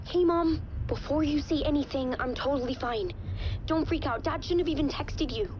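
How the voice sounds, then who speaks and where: Actress as a high-voiced exasperated teenage girl. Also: normal speed, close to an everyday voice. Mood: defensive, exasperated. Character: defensive, exasperated teenage daughter, breezily playing things down. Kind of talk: video game drama acting. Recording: dull, lo-fi call with background noise.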